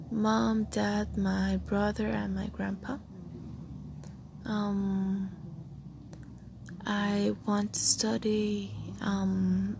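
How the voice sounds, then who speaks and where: A young woman, hosting a casual personal podcast in a soft, often half-whispered and hesitant way. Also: mid-range voice, creaky, a little hiss or hum. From Costa Rica. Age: late teens. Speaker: podcast host.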